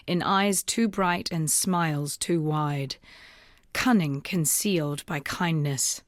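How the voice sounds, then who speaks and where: An actress voicing a grave, resolute young woman rebel in a fantasy video game, speaking in a measured, solemn storytelling way. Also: mid-range voice, dry recording, studio quality, close to an everyday voice. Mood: grave, bitter. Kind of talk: dramatic scripted video game dialogue.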